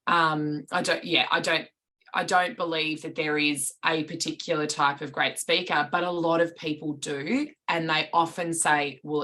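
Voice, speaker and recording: A woman's voice: low-pitched, pushed and bright. A woman, a public speaking coach answering podcast questions in a reflective, articulate way, sometimes restarting a sentence.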